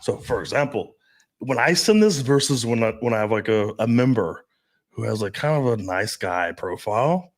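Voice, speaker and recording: A man's voice: lowish voice, moderate pace. Guy dating coach, blunt and sweary, chatty podcast vibe. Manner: brash, profane, animated storytelling. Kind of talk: casual podcast chat.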